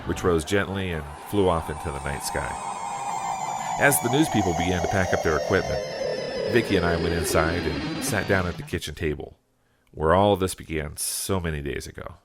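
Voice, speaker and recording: A man playing a tender, resigned husband in an audio drama, speaking and narrating in a subdued, gentle way. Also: moderate pace, over loud music, natural voice. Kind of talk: audio drama acting and first-person narration. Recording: loud background ambience, vehicle rumble.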